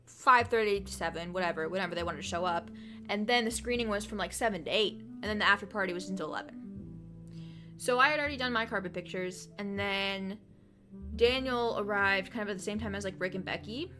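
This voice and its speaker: A young woman in her twenties hosts a casual livestream and tells stories in a chatty, excitable way. Her voice is bright and crisp, sitting at a middle pitch, with soft music playing behind her. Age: 20s.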